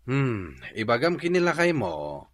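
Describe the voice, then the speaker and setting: An actor playing a wry, sympathetic older brother in an Ilocano comedy radio drama, with an Ilocano accent. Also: male voice, mid-range voice, huge pitch swings, a little slow, no room sound. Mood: resigned, wry.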